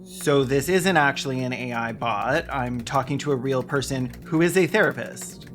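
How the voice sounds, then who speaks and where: A video essayist narrating a script with sarcastic, deadpan comic asides. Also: mid-range voice, moderate pace. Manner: sarcastic, wry deadpan jokes.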